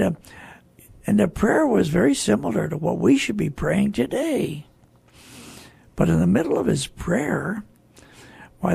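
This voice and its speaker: A talk show host with a very raspy, gravelly voice, speaking off the cuff in a halting, deliberate, earnest way. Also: medium pitch, a little mellow, no echo. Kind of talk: unscripted talk show commentary.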